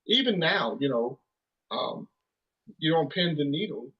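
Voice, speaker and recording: A man's voice: fairly high pitch, expressive, unhurried, breathy edge, dull audio. A man in his thirties, a musician guest, telling stories in a rambling, casual way with lots of "you know" and restarts. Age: 30s. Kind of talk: casual storytelling interview.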